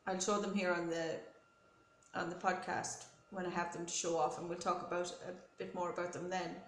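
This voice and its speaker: A woman's voice: fairly low pitch, flat delivery, fairly quick. A woman hosting a knitting podcast with a head cold, talking in a friendly, rambling show-and-tell way with many ums and self-corrections. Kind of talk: casual chatty show-and-tell. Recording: roomy echo with faint noise.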